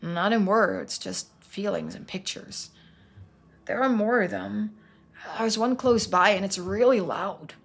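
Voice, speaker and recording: Woman playing a haunted, hushed young man. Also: mid-range voice, takes it slowly, gravelly. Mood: anxious, haunted.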